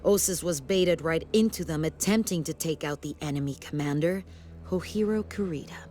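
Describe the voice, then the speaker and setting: An actress playing a stern, authoritative sci-fi military commander in a video game, with formal, clipped briefing delivery. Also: female voice, sits low, normal speed, warm tone, soft background music, close to an everyday voice. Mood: grave, resolute. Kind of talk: video game cutscene acting.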